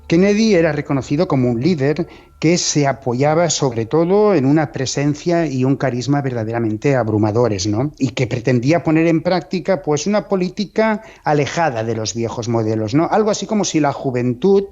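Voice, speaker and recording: A man explains in interview style, using questioning tags. His voice is mellow and the audio dull and lo-fi. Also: a little high, animated, moderate pace. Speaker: commentator.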